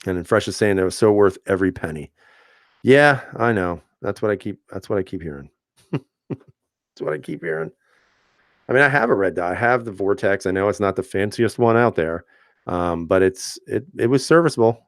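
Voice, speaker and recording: A man hosting a casual live stream, reading viewer comments aloud and answering in a relaxed, chatty way with frequent fillers and easy laughs. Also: deep voice, moderate pace, no room sound, clean studio sound. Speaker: channel host reading live chat.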